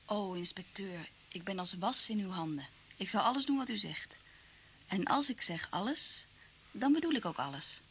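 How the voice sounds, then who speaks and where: A coquettish woman of twenty in a radio play. She is bubbly and openly flirtatious, with a medium-pitched voice and breathy asides. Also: lively intonation, normal speed, a bit bright, a little hiss or hum. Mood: seductive. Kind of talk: radio drama acting.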